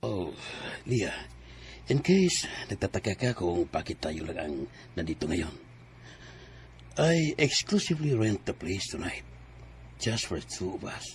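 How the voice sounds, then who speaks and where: An actor playing a smooth, pushy, wealthy married man courting someone in a radio drama, coaxing in a Filipino accent. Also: deep voice, very animated, measured pace, a little hiss or hum, exaggerated voice. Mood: flirting. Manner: coaxing, switches between English and Tagalog.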